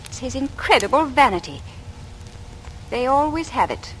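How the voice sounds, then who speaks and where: Intense Englishwoman, very expressive pitch. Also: moderate pace. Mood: urgent suspicion. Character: earnest, suspicious, probing bespectacled young Englishwoman. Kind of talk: 1940s radio acting. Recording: noisy vintage radio recording.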